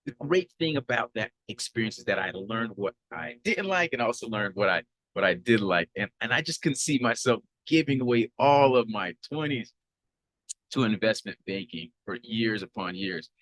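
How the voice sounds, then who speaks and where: A man's voice: fairly low pitch, rough recording. A man in his thirties, from Haiti and raised in Florida, hosting a podcast and introducing himself in an upbeat, earnest way with frequent fillers and restarts. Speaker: podcast host.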